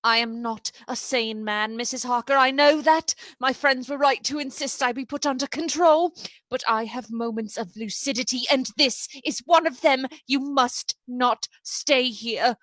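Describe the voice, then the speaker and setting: A woman's voice: sits high, a little slow, fairly bright tone, dry recording. A woman playing an agitated but courteous male asylum patient in a radio play, speaking in a formal, urgent manner. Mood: grave, urgent.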